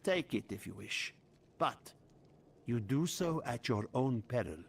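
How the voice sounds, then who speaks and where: A man's voice: medium pitch, animated, measured pace, vocal fry, slightly rough, heavily acted. A man voicing an irritable scholarly relic expert in a video game, curt, formal and grimly cautionary. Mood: grave warning.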